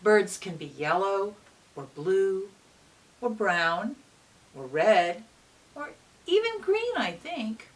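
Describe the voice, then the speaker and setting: A woman reading a picture book aloud to young children in a gentle, teacherly manner, often pausing to put questions to them. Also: mid-range voice, sing-song. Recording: distant mic with faint hiss.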